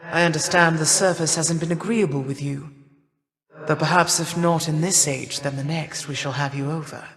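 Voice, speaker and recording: A woman playing an aged, proud yet tender grandmother goddess of winter in a video game, speaking in formal, measured, archaic phrases. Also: low voice, fairly even intonation, a bit bright. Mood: grateful, gently chiding. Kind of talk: video game character voice acting. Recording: long reverberant hall echo.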